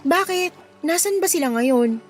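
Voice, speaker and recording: An actress with a Filipino accent playing a friendly, playful young local woman in a radio drama, lively and coaxing. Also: extremely high pitch, moderate pace, soft background music. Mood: curious. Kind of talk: Tagalog radio drama acting.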